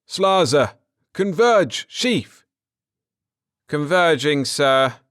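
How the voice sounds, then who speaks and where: A man acts out a Martian army officer from a science fiction tale. The voice is raised and loud, barking orders and reports in clipped, dutiful military fashion. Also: a little high, animated, very slow, no echo, clean studio sound, voice pushed up, heavily acted. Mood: urgent, commanding.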